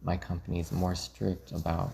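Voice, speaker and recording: A man answering questions in a casual interview, explaining things in a measured way. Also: low-pitched, monotone, creaky, warm tone, a little hiss or hum. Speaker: interview guest working in finance IT.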